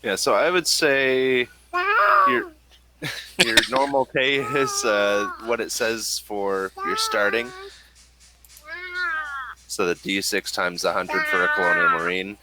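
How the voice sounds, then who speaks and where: A man's voice: on the low side, slow pace, long pauses, heavy background noise. A man acting as game master, talking casually through a tabletop game.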